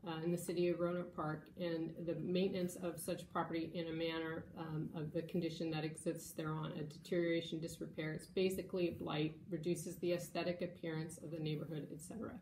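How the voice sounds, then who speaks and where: Mellow lady city official in an echoey room, kinda halting and formal. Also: sits low, level tone. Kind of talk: formal explanation at a public hearing. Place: indoor hearing room. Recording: echoey room, faint background noise.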